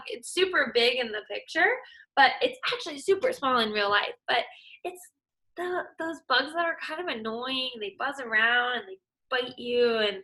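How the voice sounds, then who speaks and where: A woman's voice: a little high, bright, forceful tone, low-quality recording. A young woman teacher reading a picture book aloud to children, upbeat and encouraging, explaining words as she goes.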